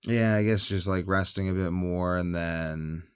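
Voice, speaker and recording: A man with a deep, clean voice, recorded close and dry. He sounds tired as he rambles casually like a vlogger. He hesitates, thinks aloud and often lets his sentences trail away. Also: fairly even intonation, measured pace, smooth, no echo. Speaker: health vlogger.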